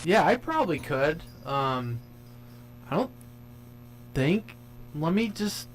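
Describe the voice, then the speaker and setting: A man's voice: fairly high pitch, big pitch swings, slow, a little hiss or hum, low-quality recording. A man chatting casually over CB radio, telling things in an easygoing way with some swearing.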